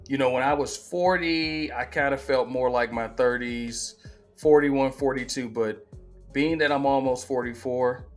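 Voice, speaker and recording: Man in his 40s, sports host, chatty and emphatic, ordinary pitch, soft music in the background. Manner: conversational, emphatic, repeats himself, animated. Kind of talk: casual opinion monologue.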